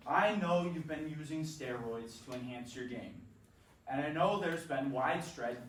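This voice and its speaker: A man's voice: a little high, moderate pace, fairly bright tone, natural voice. A man acting a formally polite, accusing interrogator in a staged play reading. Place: theatre staged reading, recorded from off. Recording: echoey room, distant mic, background noise.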